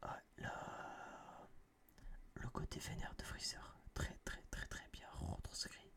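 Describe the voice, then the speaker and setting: A young man whispering close to a binaural microphone in a casual, rambling, blunt ASMR review style, with a breathy, unvoiced voice. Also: slow pace. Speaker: ASMR channel host reviewing a film.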